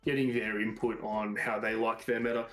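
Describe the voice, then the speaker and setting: A man's voice: fairly low pitch, level tone, moderate pace, fairly bright tone. Australian man in a roomy space. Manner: enthusiastic, agreeable. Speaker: podcast co-host. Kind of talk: casual chat. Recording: roomy sound with faint music.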